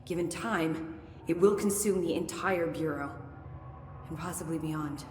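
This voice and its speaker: Eager scientist in echoing hall. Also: female voice, low voice, measured pace, darkish tone. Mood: fascinated. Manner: eager, chatty, analytical. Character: eager, unflappable young woman scientist. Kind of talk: video game dialogue. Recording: echoey hall, faint ambient noise.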